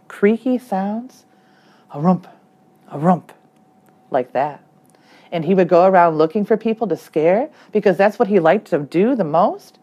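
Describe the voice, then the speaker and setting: A woman's voice: fairly low pitch, muffled recording. A woman reading a children's story aloud as a teacher, expressively and acting out the dialogue. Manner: animated, acts out the dialogue.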